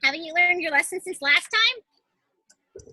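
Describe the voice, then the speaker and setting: A woman's voice: very high voice, expressive, measured pace, sharp tone, no echo, clean studio sound, heavily acted. A woman playing a wary, curious young female miner in a fan fiction table read over a video call. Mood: scolding.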